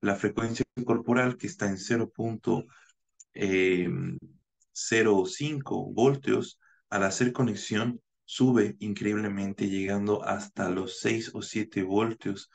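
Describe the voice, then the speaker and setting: Low gravelly-voiced man lecturing. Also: steady tone. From Bolivia. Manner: measured, explanatory, with frequent "eh" fillers. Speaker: naturopath giving a conference talk. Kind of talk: online conference lecture. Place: home room, indoors. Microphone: video call webcam.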